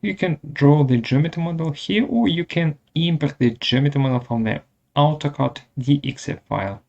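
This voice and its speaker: Guy doing a software tutorial, really creaky voice, slow and choppy short instructions. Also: medium pitch, muffled recording. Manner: halting, short instructional phrases. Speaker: webinar presenter, software instructor. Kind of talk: step-by-step software tutorial narration.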